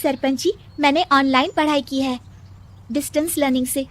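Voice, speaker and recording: A woman voicing an earnest, hopeful, studious young village girl in an animated moral story, speaking eagerly. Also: high-pitched, normal speed.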